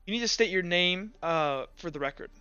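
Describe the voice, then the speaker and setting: A man voicing a solemn, formal tribunal judge in a tabletop roleplay, speaking haltingly and deliberately. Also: high-pitched, expressive, sharp tone, clean tone, no room sound, highs cut off, soft background music. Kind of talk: tabletop roleplay character speech.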